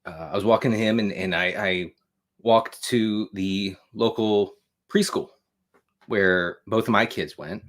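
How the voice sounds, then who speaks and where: Rambling, low-voiced American man of forty. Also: steady tone, slow pace, fairly bright tone. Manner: rambling, repeats himself, wry self-deprecating asides. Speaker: host of a live show on fatherhood. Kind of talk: casual livestream monologue.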